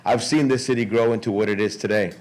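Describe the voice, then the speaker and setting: A man in his sixties speaks to a conference in an informal way. His voice sits a little low. He moves haltingly through his remarks, doubling back on words and restarting phrases. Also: fairly even intonation, a little mellow. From Miami, United States. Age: 60s. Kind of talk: informal public speech.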